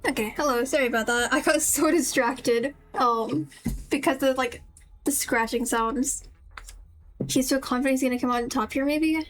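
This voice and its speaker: A woman's voice: sits high, steady tone, moderate pace, rough recording. A woman giving casual, musing live commentary as a game streamer, tentative in how she talks. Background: game music.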